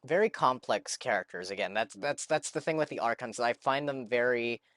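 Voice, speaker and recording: A man reacting casually on a game livestream, speaking earnestly and a little haltingly as he gathers his thoughts. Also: medium pitch, fairly bright tone, no room sound. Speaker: game streamer.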